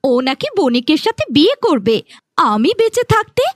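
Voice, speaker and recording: A woman's voice: high-pitched, sing-song, normal speed, no echo, clean studio sound. A woman voicing a jealous, bullying young stepsister in a fairy-tale cartoon, mocking and scolding. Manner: taunting, scolding.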